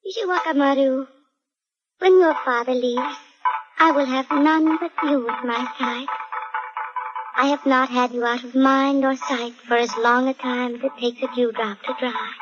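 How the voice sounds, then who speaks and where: A woman's voice: fairly high pitch, lightly acted. An actress playing a devoted, earnest noblewoman, a wife and mother, in an old radio drama. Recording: dull vintage radio recording, music behind.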